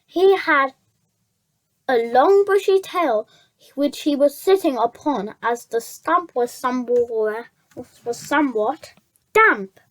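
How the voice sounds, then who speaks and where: Storybook reader, high pitch, very unhurried, halting delivery with repeated words. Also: expressive, a bit bright. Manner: halting, stumbles over words and repeats phrases. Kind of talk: children's story read aloud.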